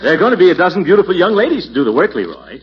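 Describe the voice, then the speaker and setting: An actor playing a pompous, blustery middle-aged uncle who hems and chuckles in a 1940s radio comedy. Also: male voice, high-pitched, big pitch swings, rapid-fire. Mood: jovial. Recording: muffled, noisy 1940s radio recording.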